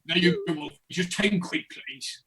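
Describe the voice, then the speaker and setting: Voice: mid-range voice, a little slow, fairly bright tone, close to an everyday voice, low-quality recording. An actor playing a wry, joking village fishmonger in a comedy radio play, bantering with customers. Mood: chummy.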